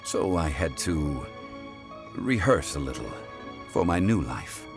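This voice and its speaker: An actor playing a calm, dignified ancient god living as a mortal in a game, speaking in a measured, formal and reflective manner. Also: male voice, low voice, creaky, heavily acted. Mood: calm, amused. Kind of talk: scripted game cutscene voice acting. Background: soft game music.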